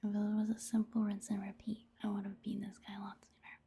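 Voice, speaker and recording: A woman giving casual, gentle ASMR commentary on a video game in a hushed, breathy whisper, unhurried and soft-spoken. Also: medium pitch, monotone.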